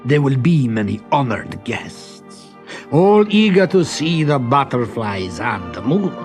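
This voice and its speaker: Storybook villain prince: haughty, bullying, deliberate and commanding, raised animated delivery. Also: male voice, mid-range voice, faint music behind, pitched above the performer's own voice. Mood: smug. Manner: imperious, clipped commands. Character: haughty, sneering, bullying prince, quick to anger. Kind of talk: storybook character acting.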